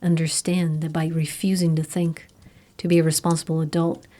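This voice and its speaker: A woman narrating a scripted guided meditation in a soothing, hushed, soft and breathy manner. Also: sits low, level tone. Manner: soothing, slow, hushed delivery. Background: meditation music.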